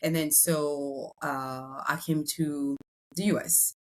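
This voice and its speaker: Low-voiced French-accented woman. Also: fairly even intonation, slow pace. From Congo, raised in France. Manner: chatty, many fillers and restarts. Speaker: real estate broker. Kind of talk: podcast interview.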